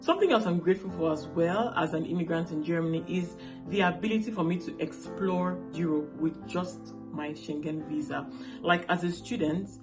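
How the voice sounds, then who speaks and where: A woman in her late twenties from Nigeria, with a Nigerian accent and Igbo as her first language, vlogging in a casual, chatty way and checking in with viewers often. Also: on the low side. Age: late 20s. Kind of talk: casual talk to camera.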